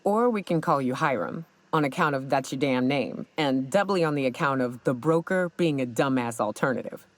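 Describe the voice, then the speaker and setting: A woman voicing a blunt, sardonic hired gun in a video game, with a folksy, deadpan delivery. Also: a bass voice, moderate pace, few pauses, no room sound. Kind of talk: video game character dialogue.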